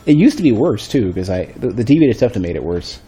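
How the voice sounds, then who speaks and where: A male streamer with a deep, bass-heavy voice, a bit stuffy, chatting casually and thinking aloud while he plays. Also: very animated, fairly quick, dark tone, breathy edge. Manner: rambling, thinking aloud with restarts. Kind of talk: casual gameplay commentary. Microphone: desk microphone.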